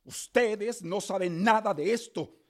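A man's voice: high-pitched, unhurried. An actor playing a stern, alarmed father in a period radio drama. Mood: indignant.

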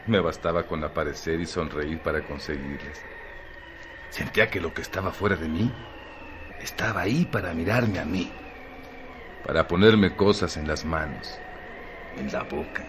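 An actor playing a self-absorbed, entitled man in a measured, self-satisfied radio drama monologue. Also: sits low. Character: self-absorbed man recalling his charmed, entitled boyhood. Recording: noisy radio drama recording.

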